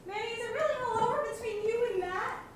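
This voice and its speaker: Piercingly high, needy, jealous bride played by a woman. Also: fast talker, crowd noise. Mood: jealous, accusing. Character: needy, jealous, suspicious bride Mabel, female. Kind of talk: student stage comedy acting. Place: school theater stage, recorded from audience. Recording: distant mic, echoey noisy hall.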